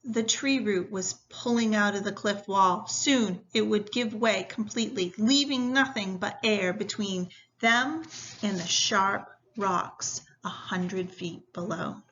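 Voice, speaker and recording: Bright-voiced woman reading a story aloud. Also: mid-range voice, slow pace, lo-fi sound, a little hiss or hum. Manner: instructive, addressing pupils directly.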